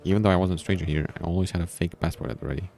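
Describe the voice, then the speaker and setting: A man's voice: low-pitched, very fast, creaky, darkish tone. A man reading a visual novel aloud, breaking in with casual, informal comments of his own. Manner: informal, reacting to the story with asides. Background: background music.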